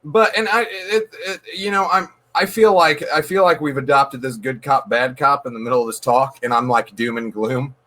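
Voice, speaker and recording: A man's voice: mid-range voice, moderate pace, few pauses, bright, forceful tone. A man, a card shop owner guest, talking earnestly and emphatically in a casual interview, often repeating words as he thinks. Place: dark room.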